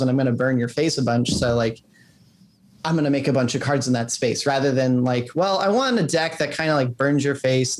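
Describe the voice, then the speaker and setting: A man being interviewed as a game designer, talking casually in rambling explanations peppered with "like". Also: medium pitch.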